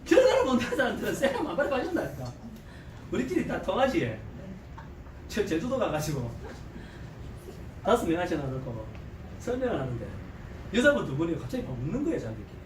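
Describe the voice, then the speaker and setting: A middle-aged man with a thick Gyeongsang accent lectures a seminar crowd, his fairly high voice leaping up and down as he jokes and throws out rhetorical questions. He sounds set back from the mic in an echoing hall, with a faint hum of ambience behind him. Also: a little fast, long pauses, far from the mic. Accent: Gyeongsang Korean. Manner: animated, joking, quizzes the audience with rhetorical questions. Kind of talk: lively seminar lecture to a live audience.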